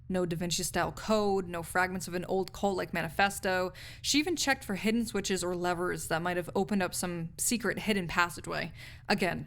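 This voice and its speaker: A woman's voice: on the low side, a bit bright. A woman playing a snarky, skeptical university research assistant in an audio roleplay, speaking articulately in a conversational, lecturing way with dry asides. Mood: wry, lecturing.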